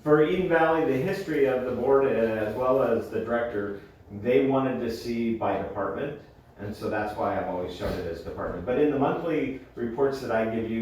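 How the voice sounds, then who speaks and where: A man presenting finances to a board, explaining figures step by step and checking that listeners follow with an okay. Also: fairly low pitch. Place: indoor public board meeting room. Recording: echoey meeting room, distant mic, noisy.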